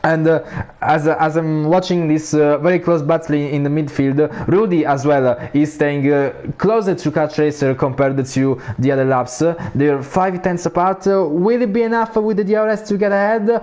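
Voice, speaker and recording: A man's voice: fairly even intonation, moderate pace, a little mellow. A man with an Italian accent giving live commentary on an online racing league, full of "uh" fillers, his voice rising when cars fight for position. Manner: frequent "uh" fillers, rises in pitch during battles. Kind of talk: live esports race commentary.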